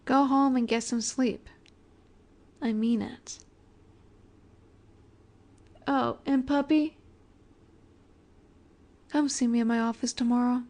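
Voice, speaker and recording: A woman playing an ageless, possessive vampire in a romantic audio roleplay, speaking softly and breathily, often near a whisper, with a wistful, elegant, gently commanding manner. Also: a little high, fairly even intonation, slow, long pauses. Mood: gently commanding, fond. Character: ageless female vampire boss's daughter, wistful, tender, possessive.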